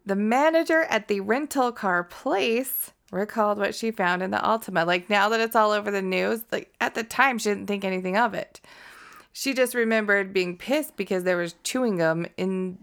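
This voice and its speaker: A woman's voice: mid-range voice, normal speed, vocal fry, a bit bright. A woman in her thirties from Connecticut co-hosting a casual true-crime chat, blunt, profane and mocking. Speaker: podcast co-host.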